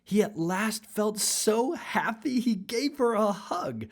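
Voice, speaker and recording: A woman with a husky voice narrating a rhyming children's story expressively. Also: medium pitch, lively intonation, takes it slowly.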